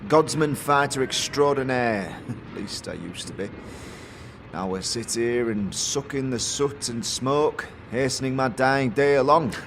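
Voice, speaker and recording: A man's voice: mid-range voice, a little slow, fairly bright tone, long reverb tail, a little hiss or hum. A man voicing a forgetful old retired fighter who sells smith's gear in a fantasy audio drama. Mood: weary, wry. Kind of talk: audio drama character acting.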